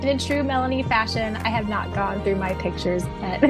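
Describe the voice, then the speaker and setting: A woman co-hosting a fan podcast in casual chat, gushing and excitable, laughing often. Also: fairly high pitch, normal speed, over music. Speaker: podcast co-host.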